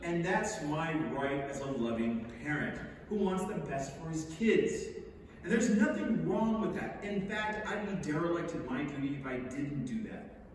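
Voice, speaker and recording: Middle-aged man, oratorical podium speaker, slightly high voice, recorded far off in a theater. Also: animated, moderate pace. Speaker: stage reader. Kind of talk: prepared speech read from a podium. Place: large indoor theater stage. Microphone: far camera. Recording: very echoey theater hall, distant mic, hiss.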